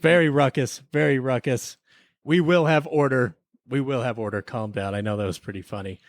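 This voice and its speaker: A man of medium pitch hosts a comic podcast as a mock investigator. He speaks in a theatrical, mock-stern way, recorded dry and close. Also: fairly even intonation, moderate pace, no echo. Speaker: podcast host. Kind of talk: comic role-play as investigator.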